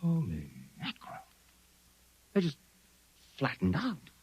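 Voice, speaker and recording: An actor with an American accent in a 1950s radio drama, playing a blunt, rattled private investigator who talks in slang and stammers under pressure. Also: male voice, a little high, wildly swooping pitch, slow, darkish tone, slightly rough, dull audio, full character voice. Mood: bewildered. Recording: vintage 1950s radio recording.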